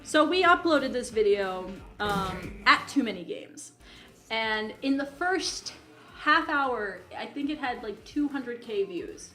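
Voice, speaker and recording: A woman co-hosting a casual podcast, telling anecdotes in an animated way and often interrupting herself. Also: a little high, slow. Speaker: podcast co-host. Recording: roomy echo, music playing behind.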